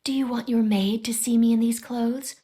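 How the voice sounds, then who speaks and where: A woman's voice: a little high, steady tone, moderate pace, mellow, close to an everyday voice. An actress playing an enigmatic, self-possessed young woman with a dry, cool, teasing delivery in an anime audio drama.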